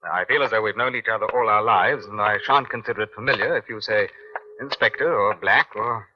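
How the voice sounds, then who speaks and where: An actor playing a brisk, wry British police inspector in old radio crime drama, with clipped commands and dry understatement. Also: male voice, fairly low pitch, close to an everyday voice. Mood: awkward, kindly. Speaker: lead actor and narrator. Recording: muffled old radio recording.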